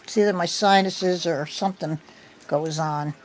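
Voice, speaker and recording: An older woman with a slightly hoarse voice from a cold, chatting at home into her phone in a meandering way, with many ums. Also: lowish voice, moderate pace, slight background noise. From United States. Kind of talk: casual chat. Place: at home indoors.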